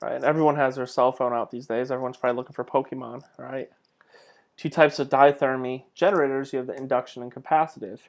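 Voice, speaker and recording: A man's voice: medium pitch, steady tone, fast talker, vocal fry. Casual male lecturer talking through slides. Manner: conversational, self-deprecating asides, rhetorical questions. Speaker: lecturer, clinical instructor.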